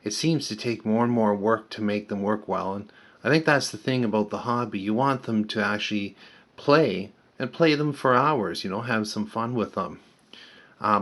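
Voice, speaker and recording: A man's voice: fairly low pitch, fairly bright tone. An older man casually walking through a repair in a plain-spoken, explanatory way as a hobbyist restorer. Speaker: vintage radio restorer and presenter. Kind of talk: casual hands-on repair walkthrough.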